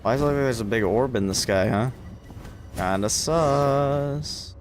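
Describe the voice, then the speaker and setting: A man's voice: mid-range voice, measured pace. A man giving laid-back, slangy commentary while livestreaming a video game. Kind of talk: casual game stream commentary, reading game dialogue aloud. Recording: game music behind the voice. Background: video game music.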